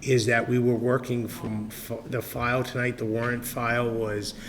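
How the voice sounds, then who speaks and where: A man's voice: mid-range voice, level tone, normal speed, fairly bright tone. A man chairing a town finance committee meeting, chatty and thinking aloud. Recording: public access meeting recording with faint noise.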